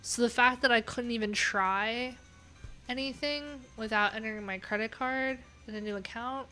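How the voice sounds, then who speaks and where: A woman's voice: on the high side, normal speed, sharp tone, faint music behind. A woman hosting a casual coding livestream, talking candidly in a rambling way with lots of filler words. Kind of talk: casual live-stream chat.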